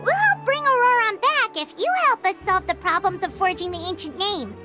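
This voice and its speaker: A woman voicing a small, childlike female fairy companion in a video game, chatty and exclamatory, with a squeaky voice. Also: expressive, moderate pace. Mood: eager. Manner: exclamatory, speaks of self in third person. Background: game music.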